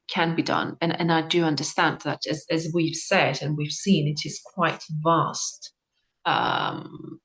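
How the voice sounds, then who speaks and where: Lady with a low-ish voice hosting a workshop call, stops and restarts a lot. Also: low-quality recording. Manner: halting, with frequent fillers and restarts. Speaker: workshop speaker. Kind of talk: online workshop chat.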